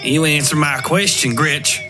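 A man voicing an irritable, suspicious husband with gruff, rural colloquial speech in a horror story. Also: on the high side, measured pace, over music, voice pushed up, close to an everyday voice. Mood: angry.

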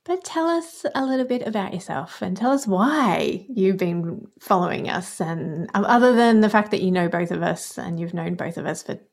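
A woman's voice: mid-range voice, expressive, normal speed, creaky, hardly any pauses. Friendly woman co-hosting a podcast. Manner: warm, friendly, teasing. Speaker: podcast co-host. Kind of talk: casual interview chat.

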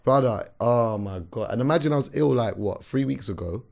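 A young man, hoarse from a recent illness, telling a story in animated, slangy casual chat as a podcast host. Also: medium pitch, fairly quick. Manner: animated storyteller, slangy, calls everyone bro.

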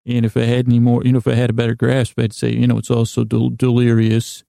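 An American man hosting a bedtime podcast with a gravelly voice, rambling in a lighthearted, gently silly way and often stumbling over and correcting his words. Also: mid-range voice, monotone, quick pace, no room sound, studio quality. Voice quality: gravelly, creaky. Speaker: podcast host. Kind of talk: rambling bedtime monologue to lull listeners to sleep.

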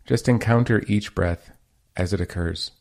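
A man leading a guided meditation as host, giving gentle, measured instructions with pauses between phrases. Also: fairly low pitch, gravelly.

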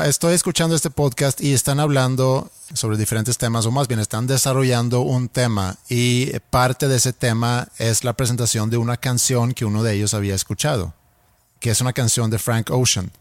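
A man whose first language is Swedish, telling a story in a relaxed podcast chat. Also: mid-range voice, moderate pace, dry recording.

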